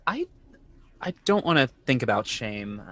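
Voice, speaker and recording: Casting: man to play an anxious, guarded male lead, hesitant and natural, clear-edged bright tone, music in the mix. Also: mid-range voice, big pitch swings, dry recording. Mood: anxious, confessional. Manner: hesitant, halting, self-conscious. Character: anxious, defensive man confiding about his panic. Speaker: podcast host playing himself in audio drama. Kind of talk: naturalistic conversational audio drama acting.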